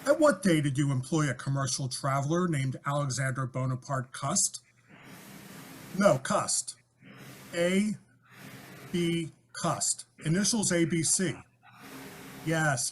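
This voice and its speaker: Guy acting a curt businesslike police inspector, old radio mystery, pitch moves around, bit of background noise. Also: lively intonation, takes it slowly, long pauses. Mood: brisk, official. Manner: authoritative, curt. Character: brisk, businesslike male Scotland Yard police inspector.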